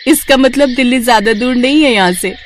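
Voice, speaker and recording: Lady as young village bahu, high sweet-polite voice, curious, cartoon story, slight noise. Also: a little fast, natural voice.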